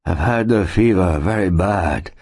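A sickly, gloomy settler in a storybook reading. His voice is faint and breathless, lifted a little, and he talks in a slow, labored, anxious way. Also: deep voice, a little mellow, pitched above the performer's own voice. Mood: weak, weary. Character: sick, worn-out male settler, anxious and gloomy.

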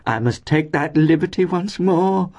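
A man voicing a bony, effusively affectionate landlady in a storybook reading, gushing and exclaiming in drawn-out phrases. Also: mid-range voice, a little mellow, pitched above the performer's own voice, natural voice. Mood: ecstatic.

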